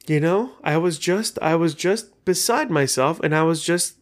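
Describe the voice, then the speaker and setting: A man's voice: on the high side, normal speed. A man hosting a podcast on his own, talking casually in a rambling, enthusiastic way and cursing freely. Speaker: podcast host. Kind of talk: casual solo podcast chat.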